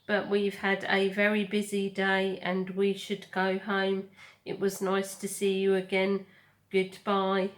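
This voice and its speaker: A woman voicing a gentle robot mother in a storybook, speaking in a calm, measured and formal manner. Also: medium pitch, close to an everyday voice. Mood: polite, friendly.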